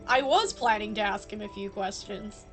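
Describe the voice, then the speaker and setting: A woman's voice: mid-range voice, normal speed. A woman live-streaming a game, giving casual, playful, chatty commentary. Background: faint game music.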